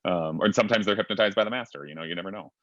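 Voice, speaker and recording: A man's voice: low voice, racing, fairly bright tone, no echo. A man on a panel, chatting casually over a video call and filling his talk with ums and sort-ofs. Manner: chatty, full of fillers and hedges. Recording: dull, dry lo-fi audio.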